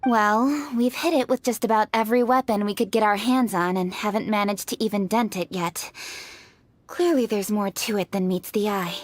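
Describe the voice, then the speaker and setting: A woman voicing an earnest, scholarly young official in a video game, speaking briskly and clearly. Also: mid-range voice, lightly acted.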